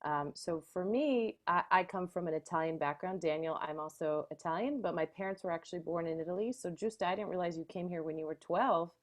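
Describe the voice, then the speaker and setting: A woman's voice: fairly low pitch, brisk, few pauses. A woman from the United States, a guest who hosts a travel show, chatting in a friendly, upbeat way on a video call. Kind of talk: casual video call chat.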